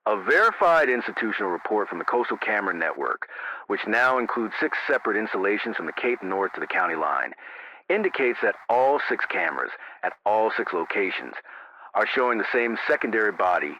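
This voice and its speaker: A man playing a grave, careful emergency radio broadcaster on night shift, measured and precise, heard through a lo-fi radio filter. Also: fairly low pitch, sing-song, bright, forceful tone, heavily acted. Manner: measured, precise, solemn, deliberate. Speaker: radio announcer. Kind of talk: analog horror emergency radio broadcast narration. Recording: dull lo-fi radio sound, faint noise.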